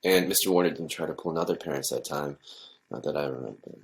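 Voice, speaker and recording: An actor playing a worried, cautious high school principal in an audio drama, speaking in a measured, conciliatory way. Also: male voice, gravelly. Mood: cautious, concerned.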